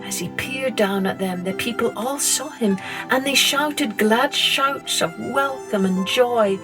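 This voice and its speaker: A middle-aged woman, reading a children's story gently and expressively as a podcast host. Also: fairly high pitch, over music. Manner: gentle, slow and expressive read-aloud.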